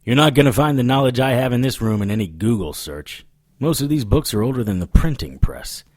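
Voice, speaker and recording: An actor playing a gruff, sardonic occult investigator in a noir audio drama, wry and grumbling. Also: male voice, medium pitch, expressive, brisk, a little mellow, natural voice. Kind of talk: audio drama acting with noir first-person narration.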